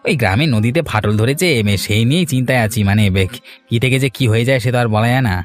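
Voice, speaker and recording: A man voicing a young village fish seller in a cartoon, talking in quick, resigned chatter. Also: mid-range voice, expressive, soft background music, natural voice. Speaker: cartoon character.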